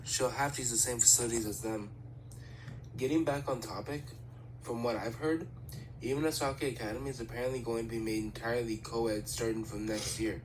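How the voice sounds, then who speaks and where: A man plays a studious, fussy teenage boy from an anime fandub. The voice is medium-pitched, formal and matter-of-fact, explaining things precisely. There is faint noise on the home recording. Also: lightly acted. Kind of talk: amateur anime fandub acting.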